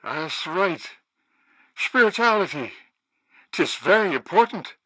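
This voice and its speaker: Boozy priest, bright voice, rambling, theatrical, dry game radio recording. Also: male voice, high voice, very animated, takes it slowly, dry recording, heavily acted. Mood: tipsy, pious. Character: tipsy priest fond of spirits. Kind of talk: comedic video game character acting.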